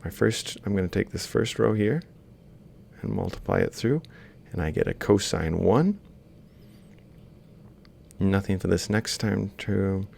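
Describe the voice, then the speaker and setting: A man's voice: on the low side, measured pace, creaky, long pauses, darkish tone, slight background noise. A man lecturing informally, explaining a worked example step by step and thinking aloud as he writes. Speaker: university lecturer.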